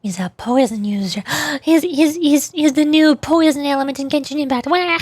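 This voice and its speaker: A woman's voice: sits high, normal speed, darkish tone, dry recording. A woman streaming a game, reading dialogue aloud and chatting casually, with game music behind her. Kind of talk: live gameplay reading with casual asides.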